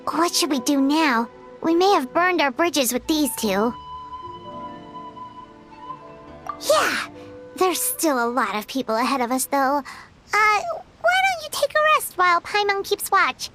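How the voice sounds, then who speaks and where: A woman's voice: sits high, sing-song, a little slow, bright, forceful tone, faint music behind, exaggerated voice. A woman voicing a tiny, blunt, chirpy female fairy companion in a game, who speaks of herself in the third person. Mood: skeptical. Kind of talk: game voice acting.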